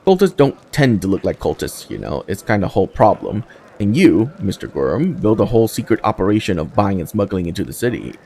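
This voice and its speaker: A man's voice: lowish voice, big pitch swings, a little fast, vocal fry, dark tone, husky, full character voice. A man voicing a plucky, plain-spoken young woman soldier from a fantasy game, with a breezy, wry manner. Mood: frank, chatty.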